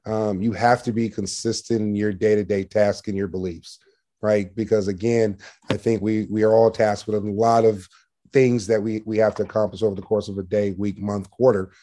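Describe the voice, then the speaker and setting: A man speaking as an interview guest in a conversational business style, with a loud, assertive, salesman-like delivery. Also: fairly low pitch, little pitch movement, moderate pace. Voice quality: loud, projecting voice.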